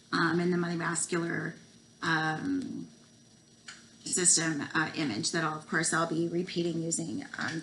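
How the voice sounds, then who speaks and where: A woman in her forties with a cold, losing her voice, giving a halting home video lesson full of ums and stumbling over medical terms. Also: lowish voice, fairly bright tone, slight background noise, poor audio. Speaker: student presenter. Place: small room at home, indoors. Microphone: laptop webcam.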